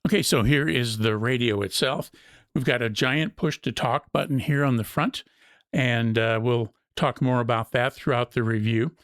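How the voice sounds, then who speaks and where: A man's voice: mid-range voice, moderate pace, fairly bright tone, no echo, studio quality. A man presenting an unscripted product walkthrough as a review host, explaining things methodically step by step.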